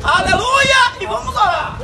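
High-voiced Bahian street preacher shouting. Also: male voice, animated, moderate pace, creaky. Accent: Bahian Brazilian Portuguese. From Bahia, Brazil. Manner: fervent, shouting bursts, repeats words. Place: city street and inside a bus. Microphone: handheld phone camera. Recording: phone recording with loud crowd and traffic.

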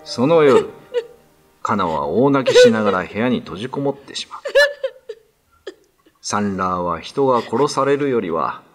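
Low-pitched man narrating a ghost story. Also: very animated, a little slow, long pauses, soft background music. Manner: grave, solemn storytelling.

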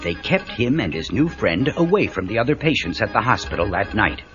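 A man's voice: on the low side, very animated, fairly quick, a bit bright. A man narrating a children's adventure story in a dramatic, emphatic scripted style. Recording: muffled cassette with prominent music.

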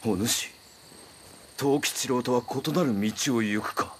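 An actor playing a grave, brooding samurai in a period video game, with hushed, solemn, archaic phrasing. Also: male voice, medium pitch, takes it slowly, a little hiss or hum, close to an everyday voice. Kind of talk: scripted period game drama.

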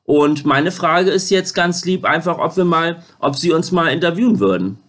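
A man in his thirties rambling through an informal phone interview, halting and repeating his words, recorded indoors at home. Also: a little high, fairly bright tone. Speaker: YouTuber making a phone call on camera.

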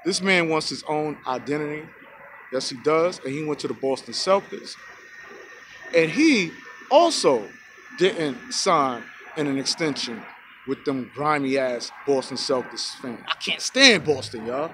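A man in his forties vlogging outdoors into a phone held at arm's length, giving excited, preachy sports opinions and calling out "y'all" to his viewers. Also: a little high, a little slow, slight background noise, poor audio. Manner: hyped, preachy, keeps saying "y'all". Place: outdoors by a rocky hill in a park. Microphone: phone selfie camera at arm's length.

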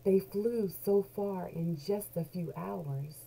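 Dark-voiced woman reading a picture book. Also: medium pitch, vocal fry, slightly rough. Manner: animated storyteller, deliberate, drawn-out key words. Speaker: children's book read-along host. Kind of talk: expressive read-aloud of a picture book for young children. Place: small indoor home room with bookshelves. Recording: noisy home webcam recording.

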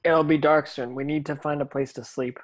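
A man's voice: on the high side, steady tone, brisk, lightly acted. A man reading the part of an earnest, determined teenage wizard in a script table read. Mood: urgent. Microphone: video call.

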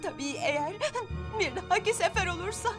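A woman's voice: extremely high pitch, lively intonation, brisk. A woman voicing a bossy, haughty teenage mummy princess in a cartoon dub, imperious and dramatic. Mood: regretful. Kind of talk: animated cartoon dubbing. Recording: loud music under the voice.

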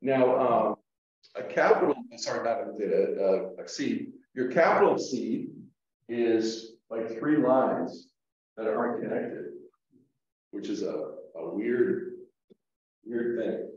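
A man teaching a Greek lesson at a whiteboard, explaining slowly with fillers and restarts, heard through a room mic on a video call. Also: medium pitch, animated, a little mellow, distant mic. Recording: echoey room, dull lo-fi sound.

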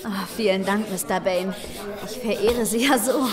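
A woman's voice: mid-range voice, normal speed, crowd noise, lightly acted. An actress playing a gushing, easily flustered young female superfan in an audio drama, speaking eagerly. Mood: adoring. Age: young adult. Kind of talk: radio play dialogue.

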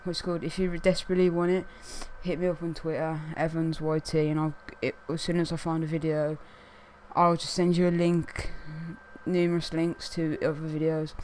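A young man with a croaky, hoarse voice, chatting casually to camera as a gaming video host and rambling with lots of fillers. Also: a little high, level tone, normal speed, a little mellow, slight background noise. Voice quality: croaky, losing his voice. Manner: rambling, many fillers like "what's it called". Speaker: gaming YouTuber.

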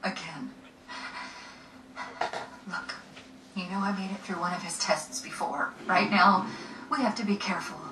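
A woman's voice: mid-range voice, steady tone, unhurried, long pauses, bright, forceful tone, a little hiss or hum. Bitter trapped girl, game audio from far off, roomy echo. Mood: grim. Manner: bitter, defiant. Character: tough young woman trapped in an asylum. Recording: echoey game audio from far off through speakers.

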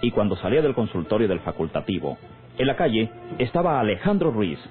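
A man's voice: on the low side, very animated, a little fast. A man narrating a radio serial in a dramatic, formal scripted style. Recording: muffled old radio broadcast. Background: music.

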